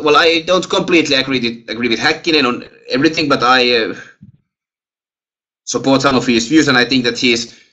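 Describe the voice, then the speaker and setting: A young man with a Finnish accent lectures over a video call through a headset. His voice is bright and forward. He pauses a lot, fills gaps with "uh" and "well", and restarts sentences. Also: mid-range voice. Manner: hesitant, many fillers and restarts. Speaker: guest lecturer. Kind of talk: webinar Q&A answers.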